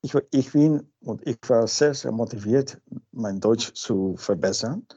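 A man from Peru, a native Spanish speaker with a Peruvian Spanish accent, answering interview questions informally and haltingly, searching for words. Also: medium pitch, mellow, breathy edge. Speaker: interview guest. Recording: dull lo-fi sound.

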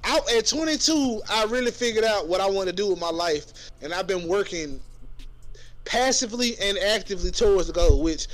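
A man's voice: high-pitched, lively intonation, moderate pace, rough recording. A man in his twenties with a Southern US accent co-hosting a casual comedic chat, talking in emphatic, profane slang. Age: 20s. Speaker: podcast co-host.

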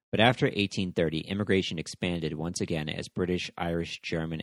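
Low-voiced man narrating history. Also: no room sound. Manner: measured, explanatory reading. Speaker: podcast host.

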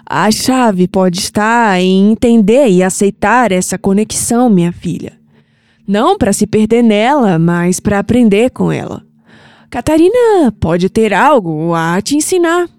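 A woman's voice: mid-range voice, animated, a little mellow, no echo, natural voice. A woman playing a kindly, reassuring old grandmother with a Brazilian accent in an audio drama. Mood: tender, calm. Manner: tender, reassuring, unhurried. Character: kindly old grandmother who reads cards. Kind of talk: scripted audio drama acting.

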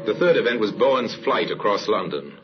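Very deep, grave radio crime narrator. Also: male voice, big pitch swings, over music. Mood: grave, suspenseful. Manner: grave, measured storytelling. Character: solemn male narrator of a crime story. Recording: muffled old radio recording with hiss.

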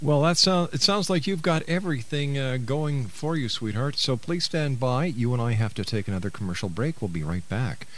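A man's voice: medium pitch, normal speed, few pauses. A middle-aged man hosting a radio show, speaking as an interviewer.

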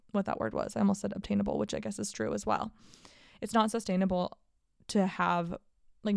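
A woman's voice: medium pitch, creaky, mellow, no echo, studio quality. A woman in her late twenties hosting a casual solo podcast, talking candidly and upbeat about her year. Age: 20s. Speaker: podcast host.

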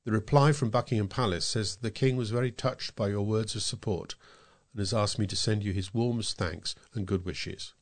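Male, British accent, lowish pitch, volunteer reader of scripted local news and narration. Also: steady tone, moderate pace. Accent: British English. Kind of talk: scripted narration, reading aloud.

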